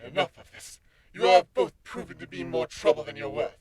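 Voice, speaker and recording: A man voicing a possessed female pony villain, cold, haughty and threatening, with a husky, rough voice. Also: on the high side, lively intonation, fairly quick, a little mellow, no room sound. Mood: cold menace.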